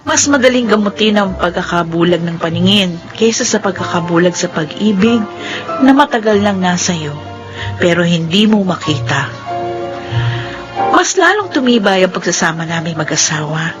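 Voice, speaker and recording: A woman's voice: fairly low pitch, lively intonation, moderate pace, highs cut off, music in the background, natural voice. A woman acting a remorseful, devoted wife in a Filipino radio drama, emotional and heartfelt. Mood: warm, reflective. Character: Jade, remorseful, devoted once-blind young wife.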